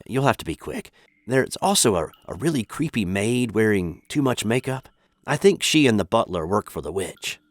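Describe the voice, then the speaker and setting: A man's voice: lowish voice, sing-song, normal speed, no echo. A man with an American accent playing a grumpy, hapless prisoner in a comic radio sitcom, sardonic and exasperated.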